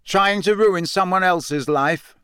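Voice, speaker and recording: A man's voice: high voice, slow, clear, resonant tone, no room sound, studio quality, voice pushed up. Harry Potter, a man voicing an angry teenage boy. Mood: furious. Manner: loud, furious retorts.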